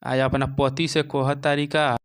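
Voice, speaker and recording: A man's voice: medium pitch, level tone, slow, clear, resonant tone. Male narrator for a moral tale, Bhojpuri accent, clean resonant mid-range voice. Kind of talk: scripted moral-story narration.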